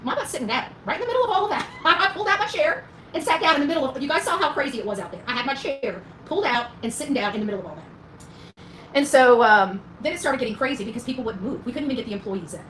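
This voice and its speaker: A woman's voice: sits high, expressive, fast, fairly bright tone. A woman telling a rambling, casual story to her viewers in a folksy, emphatic, colloquial way. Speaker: vlogger recounting own experience. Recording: echoey room with faint noise.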